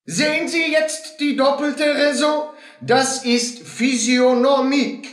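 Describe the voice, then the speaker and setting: High-pitched, pompous fairground showman. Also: fairly even intonation, slow, fairly bright tone, reverberant, full character voice. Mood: showy, grandiose. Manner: bombastic barker addressing a crowd, broken grammar. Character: pompous fairground showman presenting a learned horse. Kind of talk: German stage radio play acting.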